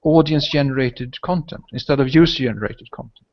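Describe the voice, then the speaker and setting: A man's voice: mid-range voice, fairly quick. A man whose first language is Swedish hosts a casual online hangout, rambling and tagging his points with "right?" and "I mean". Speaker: host. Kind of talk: casual video hangout chat. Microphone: video call. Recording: lo-fi video call audio.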